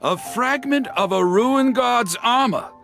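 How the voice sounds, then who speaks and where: A man voicing a theatrical storyteller in a video game, spinning heroic tales in a grandiose, declamatory way with suspenseful pauses. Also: very high-pitched, vocal fry, a bit bright, soft background music, exaggerated voice. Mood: grand, showy.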